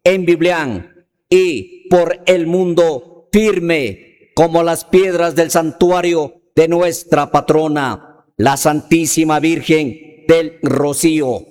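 A man announces a radio station in a fairly high voice. His scripted delivery is slow, solemn and declamatory, wrapped in a long reverb. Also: darkish tone. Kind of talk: scripted, grand station slogan.

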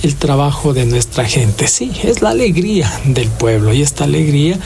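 A man's voice: on the high side, sing-song, normal speed, gravelly, a little mellow. A man with an Ecuadorian accent hosting a radio music show, greeting listeners and introducing songs in an effusive, sentimental, ornate way.

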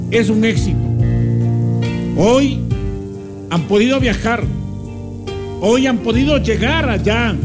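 A man hosting a faith-healing radio program, pitching it in an emphatic preacher style full of repeated lists.

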